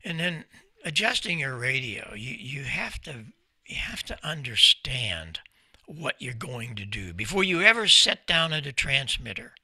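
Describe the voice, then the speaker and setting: A man's voice: mid-range voice, very animated, measured pace, sharp tone, slightly rough, dry recording. An older American man giving an informal talk as a storyteller, folksy and emphatic, close on a desk microphone. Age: 70s or older. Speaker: presenter, company founder. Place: small indoor ham radio room, seated at desk. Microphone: desk microphone close in front.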